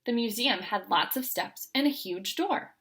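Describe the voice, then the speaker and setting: A woman's voice: on the high side, expressive, measured pace, a bit bright, breathy edge. A woman reading a children's picture book aloud as a storyteller.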